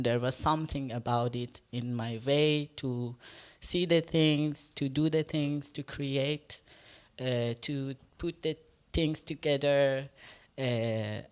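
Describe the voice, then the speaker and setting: A performance artist whose first language is Farsi, speaking English on a live panel, often pausing and searching for words. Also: mid-range voice, clean tone. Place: stage talk before an audience, no microphones.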